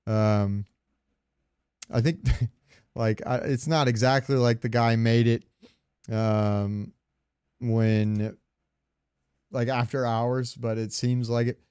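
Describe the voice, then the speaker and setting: A man's voice: mid-range voice, steady tone, slow, long pauses, darkish tone, clean tone, no room sound, clean studio sound. A man co-hosting a podcast, chatting casually in an informal, opinionated way. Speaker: podcast co-host. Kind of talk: casual chat.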